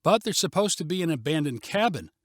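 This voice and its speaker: An upbeat, confident mother from a storybook read by a man. The voice sits fairly high, calls out encouragingly and moves in lively swings, recorded dry. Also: brisk, dry recording. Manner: encouraging, calling out. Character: upbeat, confident mother leading a family hike.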